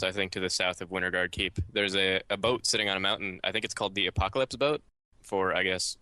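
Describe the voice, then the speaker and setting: A man's voice: low voice, pushed and bright, clear, resonant tone, dry recording. A man in casual conversation as a podcast panelist. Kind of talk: casual chat.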